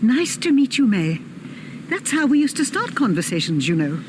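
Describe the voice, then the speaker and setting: A woman acting a sarcastic, impatient older cafe customer in an audio drama. Her voice is fairly high and swings in pitch as she scolds and demands. It was recorded outdoors over clearly audible cafe and traffic noise. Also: very animated, normal speed. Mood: brisk, sarcastic. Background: cafe and street ambience.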